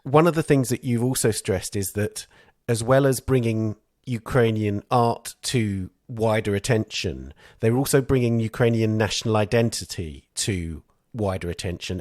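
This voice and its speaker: Low-voiced male interviewer, dry studio. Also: a little mellow, no echo. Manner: deliberate, reads a quote aloud. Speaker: podcast host, interviewer. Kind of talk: measured interview questions.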